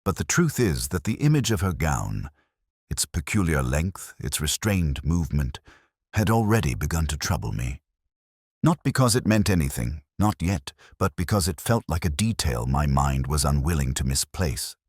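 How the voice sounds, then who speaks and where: An older man playing a melancholy, cerebral detective, narrating a scripted mystery in a measured, reflective way. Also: extremely low pitch, big pitch swings, husky, dry recording, studio quality, heavily acted. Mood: uneasy, reflective. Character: melancholy, cerebral, brooding male English police detective.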